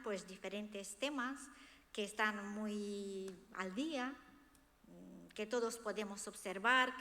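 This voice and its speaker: A woman whose native language is Romanian speaks in a fairly high voice, with long reverb from the hall around her. She is earnest and expressive, sharing a personal talk as an author addressing an audience. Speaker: author presenting her book. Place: hall of a cultural institution, before a seated audience.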